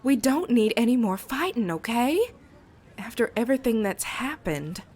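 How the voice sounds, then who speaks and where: Give a worried mother played by a woman, a little high in pitch. Her intonation swings widely as she implores. The style is emotive comic dub drama. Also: animated, normal speed, darkish tone, husky. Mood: pleading.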